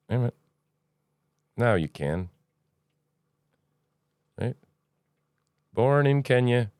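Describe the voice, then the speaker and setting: A man's voice: fairly low pitch, smooth, dry recording, studio quality. A man hosting a casual news commentary podcast, rambling and opinionated, with sarcastic asides. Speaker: podcast host.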